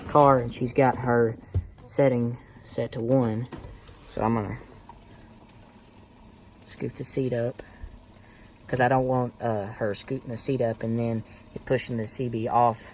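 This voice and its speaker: A plainspoken, folksy man giving a casual hands-on tutorial, talking hesitantly in short phrases with pauses. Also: mid-range voice, darkish tone. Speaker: how-to video presenter. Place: inside a parked car. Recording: noisy amateur recording with clicks.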